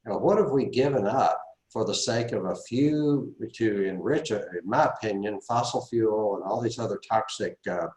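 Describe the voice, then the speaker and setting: A man's voice: fairly low pitch, normal speed, hardly any pauses, a little mellow. A man hosting a talk show, asking wry, sarcastic questions. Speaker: host. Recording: echoey room.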